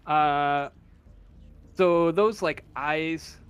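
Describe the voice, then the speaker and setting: A man improvising narration as a tabletop game master, halting as he searches for words. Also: on the high side, clear, resonant tone. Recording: faint room noise.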